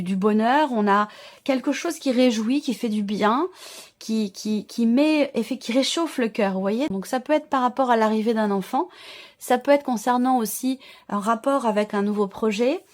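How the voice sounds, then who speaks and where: A woman giving an informal tarot reading to viewers, explaining in a reassuring way while searching for words and repeating herself. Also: on the high side. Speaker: tarot reader and channel host.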